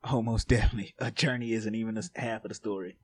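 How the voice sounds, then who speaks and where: A young man from Louisiana, hosting a podcast in a loud, joking hype-man way. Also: lowish voice, fairly even intonation, fast talker, breathy edge. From Louisiana, USA. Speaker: podcast host. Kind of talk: casual chat.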